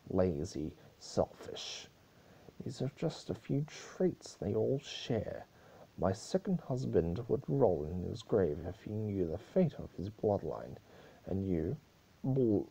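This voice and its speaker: A man's voice: low-pitched, vocal fry, mellow, breathy edge, full character voice, low-quality recording. A man voicing a stern, grieving pony princess with a grave, accusatory, declamatory delivery in an amateur story reading. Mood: bitter scorn. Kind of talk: amateur dramatic reading of fan fiction.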